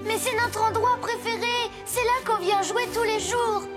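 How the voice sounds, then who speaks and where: A woman's voice: extremely high pitch, fairly even intonation, normal speed, over loud music. A woman voicing a playful, childlike, boisterous bear cub in a cartoon. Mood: curious, sulky. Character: playful, mischievous, excitable little bear cub. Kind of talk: French animated series dubbing.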